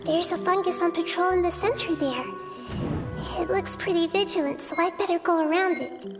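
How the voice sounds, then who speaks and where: A woman voicing a small, childlike goddess who talks in a soft, calm and earnest way. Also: very high voice, normal speed. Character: small childlike girl goddess, gentle and curious. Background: game music.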